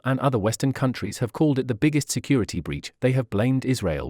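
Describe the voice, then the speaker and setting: A man reading a news bulletin in a flat, even read-aloud manner. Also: mid-range voice, dry recording, studio quality. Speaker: newsreader.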